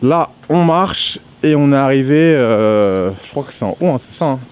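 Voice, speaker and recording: A man's voice: medium pitch, lively intonation, normal speed, darkish tone, clean tone. A man joking and chatting casually while walking outdoors, filming a travel vlog. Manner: joking and teasing. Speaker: travel vlogger. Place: outdoors on a nature reserve trail.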